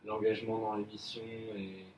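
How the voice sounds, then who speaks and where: A young man speaks in a low, level voice, stumbling through fillers and restarts as an employee in an appraisal. He sounds distant from the mic in an echoey room with a faint hiss behind him. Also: flat delivery, normal speed, darkish tone. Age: young adult. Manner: hesitant, heavy fillers and restarts. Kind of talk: role-played job appraisal interview.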